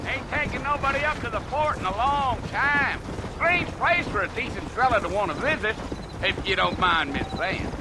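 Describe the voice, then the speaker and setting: High-pitched, drawling frontier cowboy guide. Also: male voice, lively intonation, moderate pace, heavy background noise. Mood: friendly, eager. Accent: American Southern/Western drawl. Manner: talkative, folksy, easygoing. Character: chatty, folksy cowboy guide on the Old West frontier. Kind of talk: video game character dialogue. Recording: game audio with music behind. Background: music, horses' hooves.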